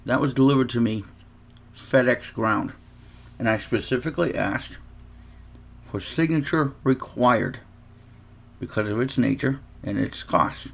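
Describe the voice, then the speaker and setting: Gravelly man telling a rambling story. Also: mid-range voice. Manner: chatty, rambling anecdote with asides and restarts. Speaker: guitar reviewer. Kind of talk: casual unboxing vlog storytelling.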